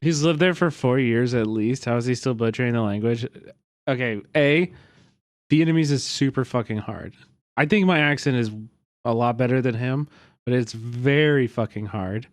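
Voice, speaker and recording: A man's voice: medium pitch, moderate pace, no room sound. A man streaming live and reacting to a video, rambling with lots of fillers and casual swearing. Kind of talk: livestream reaction commentary.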